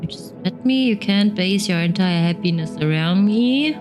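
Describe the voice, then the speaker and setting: A woman's voice: mid-range voice, lively intonation, moderate pace. A woman who is a native German speaker, doing a casual let's play and reading choices aloud. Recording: game music behind the voice.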